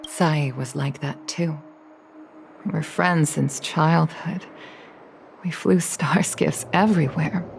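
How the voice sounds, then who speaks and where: A woman voicing a solemn, regretful Foxian veteran pilot in a video game, speaking in a measured, reflective way. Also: sits low, vocal fry, a little mellow, exaggerated voice. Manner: slow, measured, reflective delivery. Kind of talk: English game dub, dramatic monologue. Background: music.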